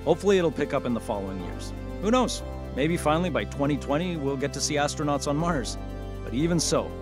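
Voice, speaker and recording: An actor playing an upbeat, boyish radio show host who chats informally and with enthusiasm in an audio drama. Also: male voice, medium pitch, lightly acted. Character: upbeat male late-night radio host, chatty and boyish.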